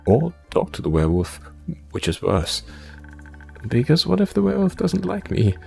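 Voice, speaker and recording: A man's voice: on the high side, huge pitch swings, normal speed, creaky, dark tone, voice pushed up, full character voice. A man voicing an anxious, self-doubting young adventurer from the forest, stammering and rambling in a visual novel. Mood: rambling, flustered. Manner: nervous, hesitant stammering and rambling. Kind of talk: visual novel character read-aloud. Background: music.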